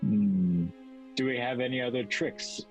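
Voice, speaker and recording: A man's voice: medium pitch, unhurried. A man playing a tabletop role-playing game, speaking in character in a hesitant, cautious way. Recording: music behind the voice. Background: music.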